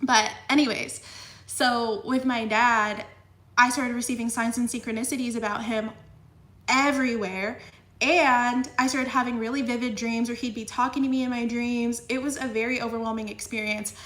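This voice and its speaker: Woman, young adult, tarot reader, chatty filler-heavy talk, slightly sniffly, raised pitch, roomy echo. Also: fairly even intonation, moderate pace, a bit bright. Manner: chatty, filler-heavy with frequent "like" and "you know". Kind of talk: casual tarot reading to camera.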